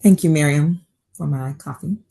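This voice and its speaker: A woman's voice: deep voice, normal speed, vocal fry, warm tone. A woman in a table read playing a composed, careful, concerned doctor in a drama. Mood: calm, attentive. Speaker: actor in a script table read. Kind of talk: dramatic script reading.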